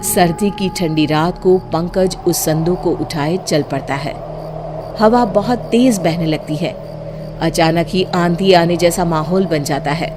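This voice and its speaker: A woman's voice: fairly low pitch, measured pace, noisy background. A woman narrator reading a scripted story. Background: music.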